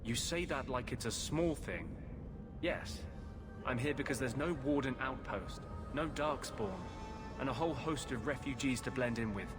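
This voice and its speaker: An actor playing a weary, sardonic runaway mage healer in a video game, with a dramatic delivery. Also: male voice, medium pitch, moderate pace, close to an everyday voice. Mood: guarded, wry. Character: weary, wry runaway warden mage healer, guarded young man. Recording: music behind the voice.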